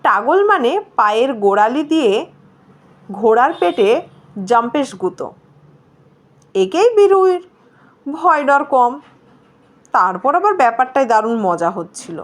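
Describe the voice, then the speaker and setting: A woman narrates a story from a script. Her voice sits high and moves through wide, lively swings of pitch as she reads. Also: a little slow, pauses often. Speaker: story reader.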